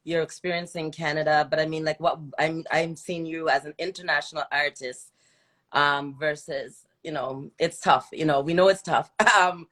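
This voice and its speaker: A woman's voice: deep voice, a bit bright. A woman hosting a casual live interview, chatting informally and encouraging her guest with affirmations. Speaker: interview host. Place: video livestream call.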